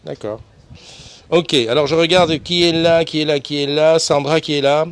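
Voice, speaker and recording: A man's voice: a little high, steady tone, moderate pace, clear, resonant tone. A man hosting a casual live morning show, chatting and greeting viewers by name with frequent laughs. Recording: noisy live stream.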